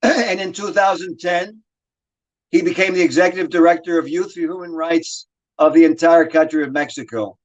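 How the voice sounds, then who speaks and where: Male host, high voice, measured, reading an introduction. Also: lo-fi sound. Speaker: show host. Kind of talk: scripted introduction and interview.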